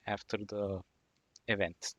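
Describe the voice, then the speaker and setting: A man co-hosting a podcast, asking questions in a measured, halting way with many uhs. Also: fairly low pitch, little pitch movement, fairly bright tone, no room sound. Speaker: podcast co-host. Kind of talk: casual podcast interview questions.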